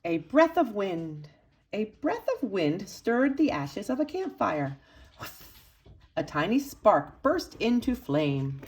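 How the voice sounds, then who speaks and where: A woman reading a children's picture book aloud expressively, with dramatic emphasis and pauses for young listeners. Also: mid-range voice, big pitch swings, darkish tone. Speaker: storybook reader for children's church.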